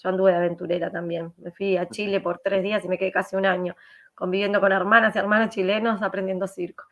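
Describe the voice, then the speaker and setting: A woman's voice: mid-range voice, flat delivery, fast talker, fairly bright tone. A woman hosting a casual interview over a video call, laughing often and asking rambling questions. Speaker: host.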